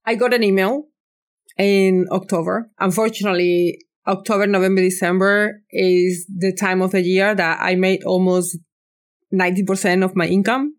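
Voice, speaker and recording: Casting: woman in her fifties from southern Spain, hesitant, mid pitch. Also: little pitch movement, moderate pace. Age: 50s. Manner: hesitant, many restarts, non-native phrasing. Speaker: podcast guest. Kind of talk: casual chat.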